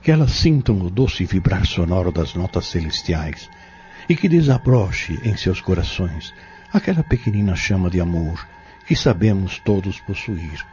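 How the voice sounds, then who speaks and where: Audio drama actor as male pharmacist-healer: Brazilian accent, very low voice, measured and solemn, muffled recording. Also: lively intonation, a little mellow, a little hiss or hum. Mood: solemn pleading. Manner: formal, measured, preacherly phrasing.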